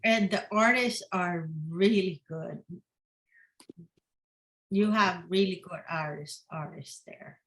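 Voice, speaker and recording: Lady guest author, english isn't her first language, slow unhurried talk, keeps repeating words. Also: lowish voice, a bit bright. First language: not English. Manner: repeats words, restarts often. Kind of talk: casual interview chat.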